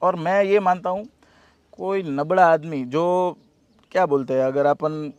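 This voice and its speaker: A man's voice: a little high, steady tone, a little slow, darkish tone, clean tone, no room sound, dull audio. A man. He gives advice earnestly in casual conversation, often repeating phrases to stress them. The recording is dry. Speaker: interview guest.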